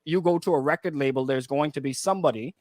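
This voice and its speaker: A man's voice: a little high, fast talker, darkish tone, no room sound, studio quality. A Bahamian man hosting a casual radio discussion, emphatic and repeating himself for emphasis, often checking in with "right?". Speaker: radio host and music director. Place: radio studio.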